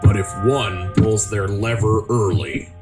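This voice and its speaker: Man as an echoing, deep trial keeper. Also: steady tone. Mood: ominous. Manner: solemn, commanding, measured. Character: stern, mysterious male keeper of a deadly trial. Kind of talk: animated fan dub acting. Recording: echoey, distant, music behind.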